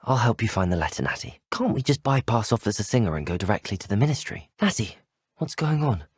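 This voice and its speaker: Lively-voiced young English student wizard. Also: male voice, on the low side, quick pace, slightly rough, dry recording, studio quality. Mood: earnest. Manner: well-spoken, courteous. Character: polite, resolute teenage boy, a student wizard. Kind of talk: video game voice acting.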